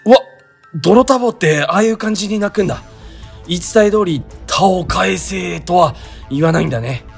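A man's voice: high voice, lively intonation, moderate pace, gravelly, breathy edge, soft background music, exaggerated voice. A man playing a mild, naive, resigned young sightseer in a comedic radio drama, plain-spoken and bemused.

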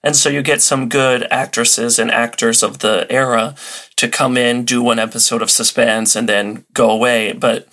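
A man's voice: medium pitch, flat delivery, moderate pace, bright, forceful tone. A man talking as a podcast guest and enthusiast, explaining and telling stories in casual chat. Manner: conversational storytelling. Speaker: podcast guest, old-time radio enthusiast.

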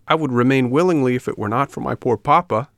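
A man voicing an eager, excitable wooden puppet boy in a storybook reading. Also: medium pitch, fast talker, no room sound, studio quality, pitched above the performer's own voice, lightly acted. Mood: grateful, affectionate.

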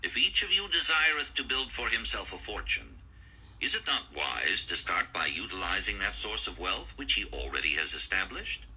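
A man voicing a wise, encouraging ancient teacher in a theatrical, archaic audiobook style, with a husky voice. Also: lowish voice, sing-song, normal speed, bright, forceful tone, heavily acted, poor audio. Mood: instructive, lofty.

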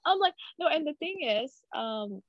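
A woman's voice: sits high, animated, normal speed, dull audio, a crowd in the background. A woman from the Philippines, a podcast guest chatting casually and laughing often, speaking over a video call. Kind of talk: casual chat.

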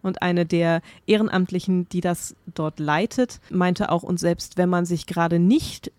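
Low-voiced woman interviewer, dry sound. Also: fairly even intonation, darkish tone, dry recording. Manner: friendly, measured interview questions. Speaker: podcast co-host and interviewer. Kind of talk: library podcast interview.

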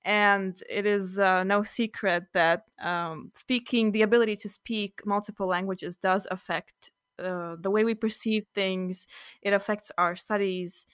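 A woman's voice: mid-range voice, fairly even intonation, measured pace, a bit bright, clean tone, no echo, clean studio sound. A young woman hosting a casual student podcast, chatting loosely with some fillers. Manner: friendly, loosely improvised with fillers. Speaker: podcast host.

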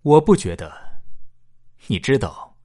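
A man's voice: mid-range voice, sing-song, a little slow, warm tone, soft background music. A man playing an insistent, alarmed space-fleet data analyst in a science-fiction radio drama.

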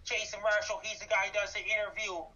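Flat-voiced Canadian man on a dull phone line. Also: high voice, quick pace, distant mic. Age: 30s. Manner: repetitive, lists facts and dates. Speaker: guest, YouTuber. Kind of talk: interview answers.

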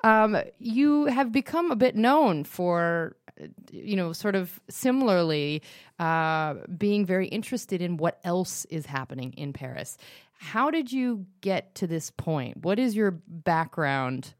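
Woman, podcast host, measured friendly manner, lowish voice, dry close sound. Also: animated, no room sound. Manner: measured, friendly interviewer with short backchannels. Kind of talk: interview, scripted intro then casual chat.

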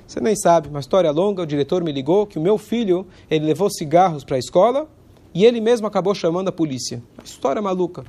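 A man, hoarse from a cold, giving an informal religious lesson in a conversational way and pausing now and then to search for words. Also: high-pitched, lively intonation, mellow.

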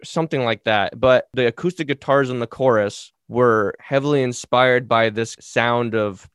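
A man's voice: moderate pace, smooth, dry recording. Man, musician, round resonant voice, thoughtful, searching for words, dry close recording. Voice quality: round speaking voice with low resonance. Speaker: musician guest. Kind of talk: casual podcast chat.

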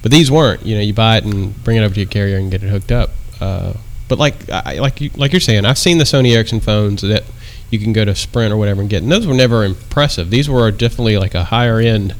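A man co-hosting a casual podcast, telling stories in a relaxed way with lots of fillers. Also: lowish voice, fast, few pauses. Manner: relaxed storytelling with many fillers. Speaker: podcast co-host. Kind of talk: casual chat.

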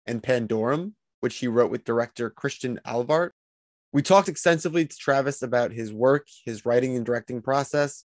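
A man's voice: medium pitch, no echo, clean studio sound. A man hosting a podcast, introducing guests and interviewing them. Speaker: podcast host.

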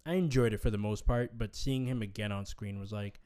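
A laid-back man with a fairly low voice, sharing his take on a movie on a casual podcast. He mostly answers in short agreeing replies and talks easily. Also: fairly quick, darkish tone, clear, resonant tone. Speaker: podcast co-host. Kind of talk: casual movie review chat.

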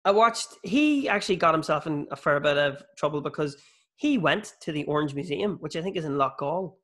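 Animated Northern Irish man, fairly high voice. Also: a little fast. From Northern Ireland, near South Armagh. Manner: animated, sweary storyteller. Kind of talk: casual chat.

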